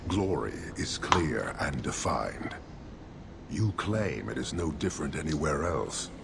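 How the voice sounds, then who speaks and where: An actor playing a stern, disdainful warrior leader of an inhuman fantasy race in a video game, speaking in a measured, solemn way. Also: male voice, extremely low pitch, expressive, very noisy, heavily acted. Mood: solemn conviction. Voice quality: gravelly. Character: stern imposing male Qunari warrior leader, disdainful and rigid. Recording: game audio with loud background ambience.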